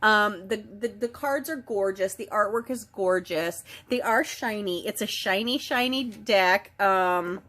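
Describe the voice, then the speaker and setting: A woman reads oracle cards in a relaxed, chatty way, full of ums and small restarts. She is stuffed up from a cold, so her voice comes out a little nasal. Her pitch sits in the middle. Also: measured pace, few pauses. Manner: chatty, gentle, with frequent ums and self-corrections. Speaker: oracle card reader. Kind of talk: casual spiritual card reading.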